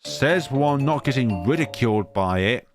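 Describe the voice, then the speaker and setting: A man's voice: mid-range voice, animated, moderate pace. A man hosting a gaming video, reading a visual novel aloud and adding his own asides. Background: music.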